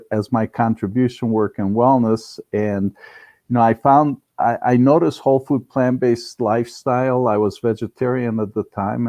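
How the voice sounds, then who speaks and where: An older man talks in an earnest, conversational way as a guest in an interview. His voice is soft-edged and relaxed, recorded dry with very little room sound. He feels his way through sentences, often restarting them. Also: medium pitch, no room sound. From Romania-born, raised in Cleveland, US. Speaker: interview guest, business founder.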